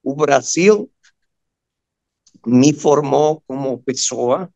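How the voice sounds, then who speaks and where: A man of fifty-one, a native Spanish speaker with a Venezuelan accent, telling his story as a guest in a halting manner, searching for words. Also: mid-range voice, darkish tone. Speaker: guest, surgeon and amateur radio operator. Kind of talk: personal storytelling in an interview.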